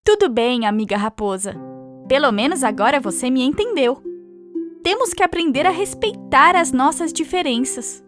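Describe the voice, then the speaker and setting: A woman voicing a gentle, patient, polite stork in a children's cartoon, earnest and expressive, with a Brazilian accent. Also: sits high, moderate pace, music in the background. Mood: gently instructive.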